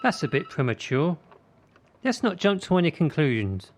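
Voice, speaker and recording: An actor playing a critical, controlling gymnastics coach in a radio drama, measured and condescending. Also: male voice, fairly high pitch, very animated. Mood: smoothly condescending.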